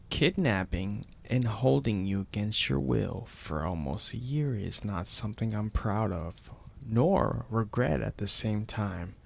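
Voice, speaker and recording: A man playing a possessive, domineering mafia boss in an intimate ASMR roleplay, speaking deliberately and with teasing menace in a gravelly, husky, breathy voice. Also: on the low side, full character voice. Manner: slow, deliberate, menacing, florid wording.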